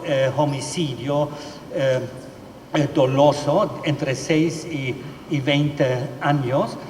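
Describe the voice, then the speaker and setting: Halting man in an echoey hall. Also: on the high side. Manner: halting, many fillers and restarts. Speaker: legal expert speaker. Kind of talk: formal panel talk. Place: large hearing hall. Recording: echoey hall with faint room noise.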